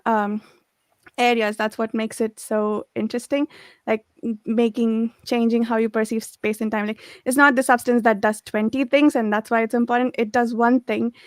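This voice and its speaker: A woman's voice: a little high, flat delivery, moderate pace, no echo, studio quality. A woman in a casual book discussion, thoughtfully piecing her ideas together as she speaks.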